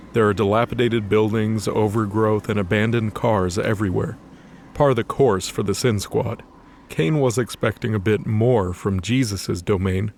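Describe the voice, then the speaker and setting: A man's voice: fairly low pitch, a little mellow, a little hiss or hum. A man narrating a scripted fantasy audio drama.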